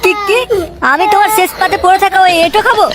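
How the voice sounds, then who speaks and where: Woman voicing a very high-pitched cheeky cartoon boy. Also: quick pace. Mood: indignant. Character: cheeky, scheming, foul-mouthed young boy. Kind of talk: comedy cartoon dialogue. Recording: loud music bed with bird chirps.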